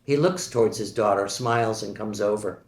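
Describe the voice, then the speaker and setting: A man reading stage directions aloud at a screenplay table read, steady and matter-of-fact. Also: lowish voice, moderate pace. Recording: echoey room.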